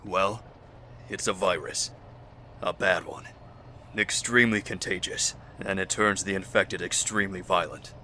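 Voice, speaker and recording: Man acting as a deep-voiced, measured health official. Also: fairly even intonation, bright, forceful tone, a little hiss or hum. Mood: calm, guarded. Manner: measured, formal, matter-of-fact. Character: calm, guarded United Nations health official in a zombie outbreak. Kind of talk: audio drama acting.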